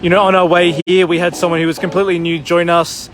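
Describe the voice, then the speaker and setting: A man's voice: high voice, quick pace. A man hosting a protest livestream outdoors, talking casually with lots of fillers. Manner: relaxed, rambling with many fillers. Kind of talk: casual on-the-spot talk. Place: outdoor city square. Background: live music nearby.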